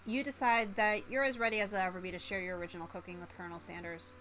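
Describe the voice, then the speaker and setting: A woman streamer reading a visual novel aloud in a theatrical, expressive way. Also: mid-range voice, fast, slight background noise, poor audio.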